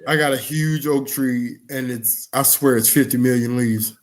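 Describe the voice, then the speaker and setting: A man's voice: mid-range voice, steady tone, normal speed. A man co-hosting a podcast, chatting and telling stories in a slangy, jokey way with some swearing. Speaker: podcast co-host. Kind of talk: casual chat, storytelling.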